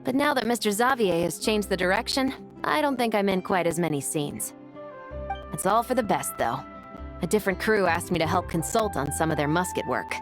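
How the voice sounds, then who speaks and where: A woman's voice: on the low side, fairly quick, natural voice. An actress playing a helpful, easygoing woman musketeer. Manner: relaxed, obliging. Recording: game audio with music behind.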